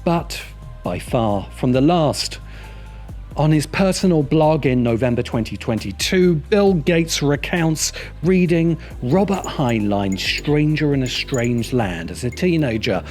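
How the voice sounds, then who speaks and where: A man's voice: fairly high pitch, animated, slow, a little mellow. A man reading a scripted video essay narration in a sardonic, opinionated manner. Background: music behind the voice.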